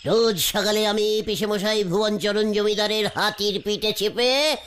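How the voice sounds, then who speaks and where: A woman's voice: medium pitch, fairly even intonation, a little slow, a little hiss or hum, lightly acted. A woman voicing a pompous, idle uncle who spins absurd tall tales in a comic cartoon, speaking. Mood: smug storytelling. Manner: pompous, drawling storytelling.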